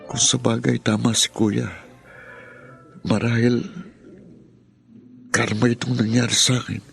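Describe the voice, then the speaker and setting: An actor playing a proud, wounded younger son in a Filipino radio drama, with emotional delivery and a Filipino accent. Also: male voice, mid-range voice, little pitch movement, unhurried, creaky, a bit bright, faint music behind. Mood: resigned, sad. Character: proud, wounded younger son, bitter and self-reproaching, later humbled.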